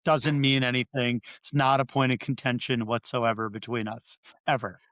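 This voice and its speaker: A man's voice: mid-range voice, level tone, normal speed, a bit bright, no room sound. A man hosting a podcast, chatting in a conversational, joking way. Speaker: podcast host. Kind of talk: podcast interview.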